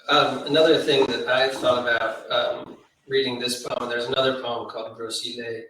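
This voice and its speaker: A man in an informal literary discussion as a panelist, tentative and halting as he thinks aloud. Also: medium pitch, little pitch movement, pushed and bright. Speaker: book editor and panelist. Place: indoor event room with audience. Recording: very echoey room, distant mic, faint noise.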